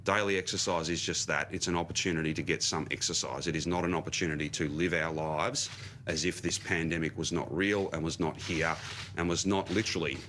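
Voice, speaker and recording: Very deep-voiced man, firm. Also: steady tone, a bit bright, a little hiss or hum. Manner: firm, deliberate, repetitive emphasis. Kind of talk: public address.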